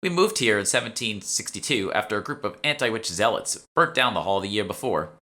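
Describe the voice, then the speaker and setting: A teenage Boston shopgirl played by a man in an audiobook, guarded but knowing. The voice is lifted and bright, with a casual way of explaining things. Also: fairly low pitch, lively intonation, a little fast, pitched above the performer's own voice. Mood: chatty, informative. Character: teenage salesgirl, evasive then chatty, knowing alchemy shopkeeper. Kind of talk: audiobook character dialogue.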